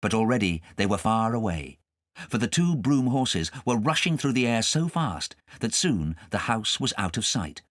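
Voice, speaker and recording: A man narrating a storybook as a scripted audiobook, with dramatic, expressive storytelling. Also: lowish voice, moderate pace, no echo, studio quality.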